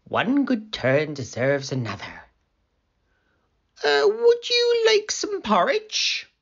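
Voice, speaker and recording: A man's voice: very high-pitched, huge pitch swings, slow, creaky, a bit bright, highs cut off, pitched above the performer's own voice, heavily acted. A man voicing a kindly old woman with magic powers in a children's storybook, speaking theatrically. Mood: kindly offering.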